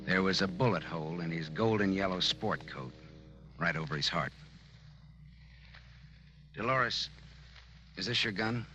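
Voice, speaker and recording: An actor playing a wisecracking, world-weary private detective in a wry, deadpan 1940s radio drama manner. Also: male voice, low-pitched, measured pace, pauses often, a little hiss or hum, heavily acted. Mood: somber narration. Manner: wry, hard-boiled, deadpan. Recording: old muffled radio recording with music.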